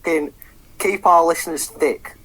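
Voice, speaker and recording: A man's voice: a little high, fairly even intonation, normal speed, a bit bright, rough recording. A young man co-hosting a football podcast in casual chat, full of ums and restarts and swearing freely. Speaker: podcast co-host.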